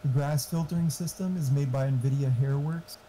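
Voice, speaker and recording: A man's voice: fairly high pitch, fairly even intonation, normal speed, warm tone. Muffled man chatting casually, noisy line. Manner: informal, explanatory, mildly profane. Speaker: friend giving tech advice. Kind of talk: casual chat.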